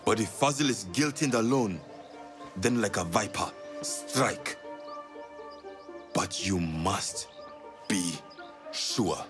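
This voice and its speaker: An actor playing a shrewd, commanding spymaster and mentor, with weighty, deliberate phrasing in dramatic game dialogue. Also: male voice, fairly low pitch. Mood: cautious, commanding. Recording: music behind the voice.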